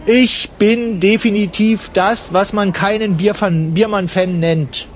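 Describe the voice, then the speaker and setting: A man playing a bored, contrary travel companion, deadpan and colloquial, in a conversational radio play. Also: high-pitched, slow, slight background noise.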